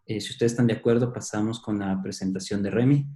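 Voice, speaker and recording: A man's voice: on the low side, fairly even intonation, fast talker, dark tone, echoey room. A man moderating a formal panel, introducing and handing over between speakers.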